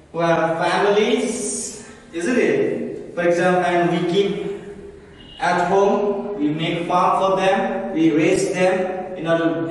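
A young man with an Indian English accent teaching a class, slow and repetitive, often checking with "isn't it?". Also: sits high. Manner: slow, repeats words, asks "isn't it?". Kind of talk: classroom grammar lesson. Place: classroom at a chalkboard. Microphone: far camera. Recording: echoey room from far off.

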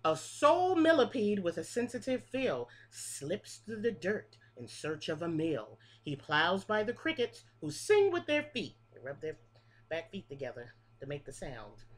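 A woman's voice: fairly low pitch, very animated, measured pace. Sing-song woman reading aloud to preschoolers. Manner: sing-song rhythmic reading with explanations to children. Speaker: pre-K teacher. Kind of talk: children's picture book read-aloud. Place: small room with a classroom wall display. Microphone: webcam at close range.

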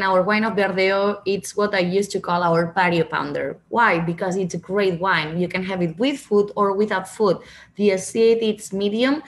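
Spanish-accented woman presenting wines. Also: mid-range voice. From Catalonia, Spain. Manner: explanatory, restarts, non-native phrasing. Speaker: winery representative. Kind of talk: wine presentation to diners. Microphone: video call.

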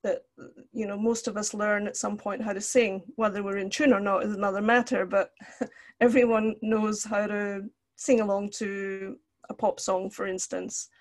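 A woman's voice: mid-range voice, little pitch movement, moderate pace. An older woman from Scotland, lecturing over a video call in a conversational, explanatory way. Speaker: counselling lecturer. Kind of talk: online lecture with personal examples. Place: indoor room, video call.

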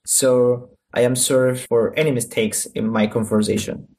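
A man's voice: mid-range voice, little pitch movement, moderate pace, a little mellow. A man from Egypt whose first language is Arabic, a museum conservator speaking carefully and formally in a message recorded on his smartphone.

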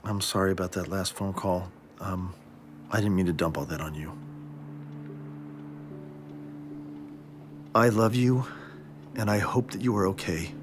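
A man's voice: fairly high pitch, sing-song, fast, noisy background. An actor playing an anxious male doctor who pleads with his best friend, frantic at times and deflated at others. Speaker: hospital doctor. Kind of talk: TV drama acting.

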